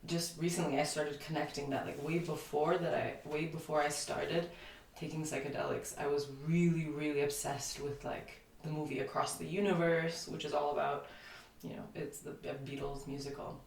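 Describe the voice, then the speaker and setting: A woman's voice: low voice, little pitch movement, normal speed, creaky, few pauses, darkish tone, slight background noise. A woman in her thirties who grew up in Lithuania and whose first language is Lithuanian. She is a guest in an interview, talking reflectively and rambling with many fillers. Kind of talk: casual interview conversation. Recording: echoey room, distant mic, faint ambience.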